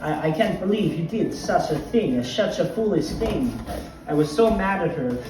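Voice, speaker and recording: A man's voice: on the high side, expressive, moderate pace, recorded from a distance, natural voice. A man playing a rambling old vampire count who guides viewers through a craft project and keeps drifting into anecdotes. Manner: digressive, wanders into anecdotes. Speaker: craft tutorial host in character. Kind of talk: in-character crafting tutorial. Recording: very echoey room, mic from afar. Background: music playing.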